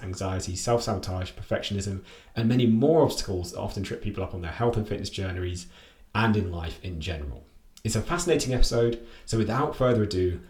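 A man's voice: lowish voice, a little mellow. A man hosting a podcast, reading a scripted intro and then asking questions in a loose, chatty way. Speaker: podcast host. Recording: roomy echo.

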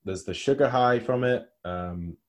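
A man's voice: medium pitch, unhurried. A man co-hosting a podcast, talking casually as a coach with lots of "like" and "you know". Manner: conversational, many fillers like "like" and "you know".

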